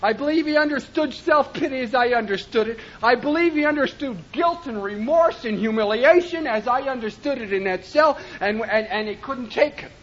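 A man giving an impassioned personal testimony at a recovery meeting, often shouting for emphasis. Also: very high-pitched, moderate pace, few pauses, dull audio. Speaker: recovery speaker.

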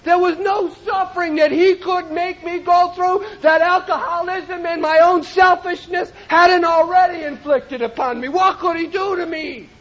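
A man giving an impassioned personal testimony at a recovery meeting, often shouting for emphasis. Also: very high-pitched, steady tone, moderate pace, few pauses, lo-fi sound. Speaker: recovery speaker.

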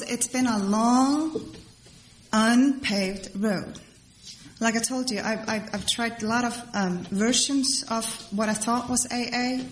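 A middle-aged woman speaks to a crowd in a fairly high voice. Her personal testimony is halting and rambling, with phrases repeated for emphasis. The room is clearly echoey, with faint noise behind her. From Iceland. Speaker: speaker at a recovery meeting. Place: large meeting hall before a crowd. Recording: echoey hall with background noise.

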